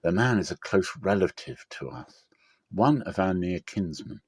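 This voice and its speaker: A man's voice: low-pitched, very animated, unhurried, a little mellow, breathy edge, no echo, exaggerated voice. A man voicing a caring older mother-in-law who guides in an earnest, motherly way, in a dramatic scripture reading. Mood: eager, thankful.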